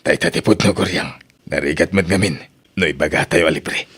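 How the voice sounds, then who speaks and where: An actor playing a weary, apologetic older uncle in a radio drama, with a raspy voice and an Ilocano accent. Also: male voice, deep voice, a little fast, fairly bright tone, exaggerated voice. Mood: weary, pleading. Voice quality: husky, raspy.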